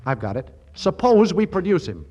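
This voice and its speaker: Lively, conniving showbiz schemer. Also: male voice, high voice, normal speed. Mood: scheming. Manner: excitable, stammering, conniving. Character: scheming, flustered fast-talking Hollywood schemer boss. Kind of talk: 1940s radio comedy acting.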